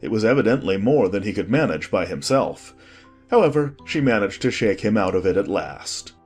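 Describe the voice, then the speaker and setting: A man with a husky voice reading a scripted story as an expressive audiobook narrator. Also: on the low side, normal speed. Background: faint music.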